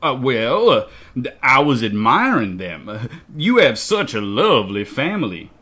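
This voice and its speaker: A sly monster posing as a nice gentleman voiced by a male narrator in an audiobook. He stammers bashfully, folksy and polite, with a false aw-shucks innocence. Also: mid-range voice, very animated, a little slow, slightly rough, heavily acted. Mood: flattering. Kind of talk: audiobook character dialogue.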